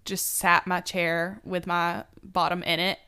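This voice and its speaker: A young woman chats easily as a podcast co-host, telling small stories in a relaxed, friendly way. Her voice sits a little low and comes across smooth and clean. She sounds slightly stuffed up from allergies. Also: unhurried. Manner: chatty, relaxed storytelling. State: congested with allergies. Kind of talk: casual chat.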